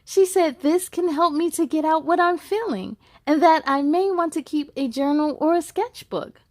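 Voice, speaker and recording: A woman's voice: high voice, moderate pace, dry recording, studio quality. A woman hosting a children's read-aloud, speaking encouragingly and directly to young listeners between storybook passages. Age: adult.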